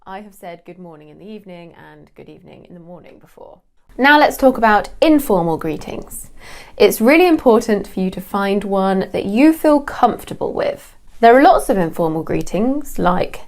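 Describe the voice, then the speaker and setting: Young English woman, English accent, clear, measured lesson delivery, a touch of dry humour. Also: mid-range voice, expressive. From England. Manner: clear, measured explanation with light self-deprecating humour. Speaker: English teacher.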